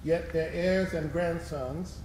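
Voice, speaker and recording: A man on stage playing a solemn, urgent political leader, speaking deliberately and emphatically in readers theatre oratory. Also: fairly high pitch, steady tone. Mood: solemn, resolute. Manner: deliberate, emphatic, pausing between phrases. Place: live stage performance in a hall. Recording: echoey live hall, clear background noise.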